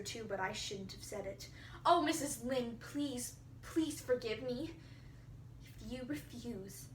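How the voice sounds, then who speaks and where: A woman's voice: on the high side, lightly acted. Woman acting melodramatic orphan girl, roomy and distant. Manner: gushing, melodramatic, pleading. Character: dramatic, imaginative red-haired orphan girl, effusive and earnest. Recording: roomy, distant mic, faint hiss.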